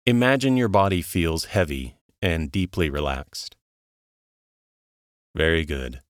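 Low voiced guy doing a calm meditation narration, really slow, super dry close sound. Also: dry recording. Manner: calm, soothing, measured instruction with long pauses. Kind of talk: slow guided relaxation narration.

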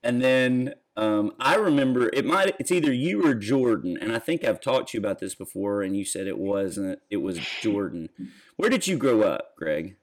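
A man in his forties hosting a podcast, asking questions and telling rambling, animated stories. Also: on the low side, normal speed. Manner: rambling, animated storytelling with laughs. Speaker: podcast host. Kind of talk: casual interview.

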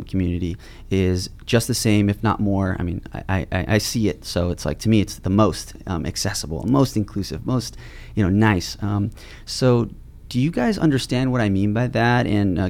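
A man's voice: lowish voice, creaky, darkish tone. A young man hosting a podcast, chatting loosely with lots of fillers and rambling questions. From California. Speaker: podcast host. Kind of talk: casual interview chat.

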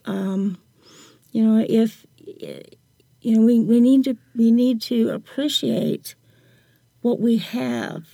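An older woman speaks as a reflective interview guest, taking her time with halting phrases. Her voice is mellow and creaks with vocal fry. She speaks slowly throughout. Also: on the high side, no echo. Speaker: podcast guest, writer.

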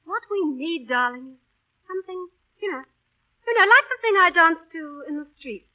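A woman's voice: very high-pitched, animated, moderate pace, narrow, tinny audio. A woman playing a playful young musical stage star in an old radio drama. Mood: teasing. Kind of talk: 1930s-40s radio drama acting.

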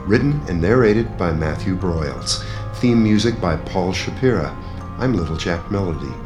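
A man announces the scripted credits of a radio show. His voice is deep, and guitar music plays clearly behind him. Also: expressive, moderate pace.

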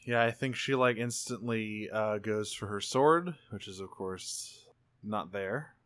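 A man's voice: lowish voice, measured pace, a bit bright. A man playing a tabletop roleplaying game, casually improvising what his character does in an informal, hedging way. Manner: informal, hedging, self-repeating.

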